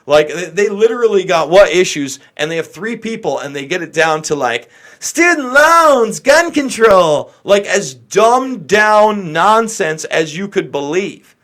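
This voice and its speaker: A man's voice: fairly high pitch, fairly bright tone. An American man doing off-the-cuff livestream political commentary, talking to viewers in an opinionated, exasperated, emphatic manner.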